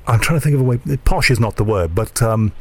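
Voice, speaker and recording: A man's voice: on the low side, lively intonation, fast talker, darkish tone. A man hosting a radio show, asking questions with curiosity and thinking aloud as he listens. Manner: inquisitive, enthusiastic, thinks aloud. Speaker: radio host. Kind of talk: curious interview chat.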